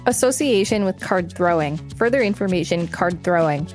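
A woman's voice: on the low side, animated, moderate pace, soft background music. A woman co-hosting a podcast, reading an encyclopedia article aloud and chatting casually. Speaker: podcast co-host.

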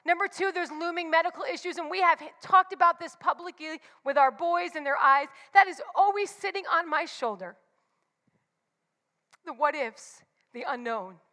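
Woman, 40s, bright forceful tone, confessional devotional talk, reverberant room. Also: very high voice, normal speed. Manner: candid and confessional, emphatic repetitions. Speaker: church speaker.

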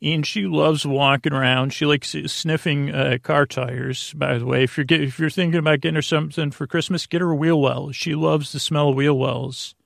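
A man hosting a bedtime podcast in a casual, rambling monologue, halting and drifting off into tangents, with a creaky voice. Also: a little high, fairly even intonation, no room sound, studio quality. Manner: meandering and halting, trails off into tangents. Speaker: podcast host.

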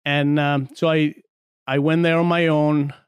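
A man's voice: on the high side, little pitch movement, unhurried, clear, resonant tone, dry recording. A man in his forties from Argentina, a podcast guest chatting casually in a studio, telling stories with many fillers and restarts. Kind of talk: casual chat.

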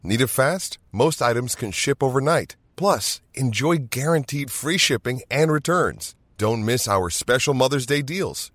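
Animated, dry-recorded male announcer. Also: medium pitch, dry recording. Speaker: advert announcer. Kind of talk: scripted retail commercial.

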